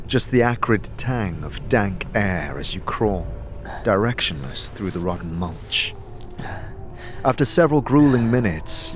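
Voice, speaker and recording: A man narrating a scripted fantasy audio drama in a measured, theatrical way, as a game master would. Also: lowish voice.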